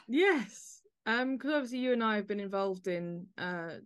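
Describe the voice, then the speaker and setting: A woman's voice: medium pitch, expressive, normal speed, smooth. A woman hosting a podcast, introducing and interviewing in a conversational style.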